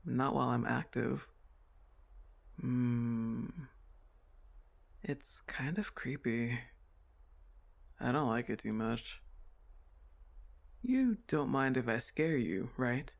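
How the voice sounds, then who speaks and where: A man voicing a comforting sleep paralysis demon in an ASMR roleplay, reassuring and unhurried as he speaks to the listener like a therapist with a teasing streak. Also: medium pitch, lively intonation, gravelly, full character voice. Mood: playful, teasing.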